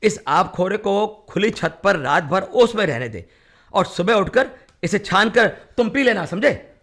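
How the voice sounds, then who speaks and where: An actor playing a bossy, cocksure friend who briskly pushes folk cures in a radio play. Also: male voice, sits high, sing-song, a bit bright, exaggerated voice. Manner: brisk, insistent, chiding. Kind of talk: Hindi radio play acting.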